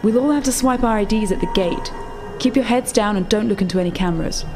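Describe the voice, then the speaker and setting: A woman playing a brisk, no-nonsense undercover rebel contact in a tabletop roleplaying game, terse and commanding. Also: medium pitch, music in the background, lightly acted. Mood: guarded, instructing.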